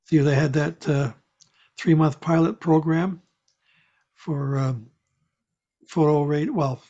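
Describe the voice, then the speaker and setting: A man with a somewhat high-pitched voice chats casually. He rambles mildly, pausing and hesitating as he goes. The sound is dull and lo-fi. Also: fairly even intonation, mellow. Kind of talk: casual chat. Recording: dull, lo-fi audio.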